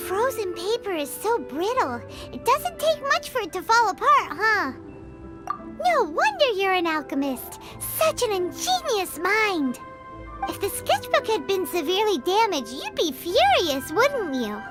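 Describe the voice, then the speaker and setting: Tiny cheeky game sidekick: piercingly high, squeaky child voice, very animated, music behind. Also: female voice, measured pace, exaggerated voice. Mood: curious, chatty. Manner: refers to herself in the third person, exclamatory, theatrical. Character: tiny chatty childlike floating companion, female, excitable and cheeky. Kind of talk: video game character dialogue.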